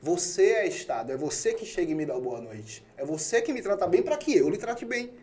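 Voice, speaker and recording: A man's voice: sits high, sing-song, brisk, darkish tone, husky. A man from Salvador with a Brazilian Bahian accent, hosting a casual conversation in an emphatic, colloquial way and acting out quoted dialogue. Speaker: podcast host.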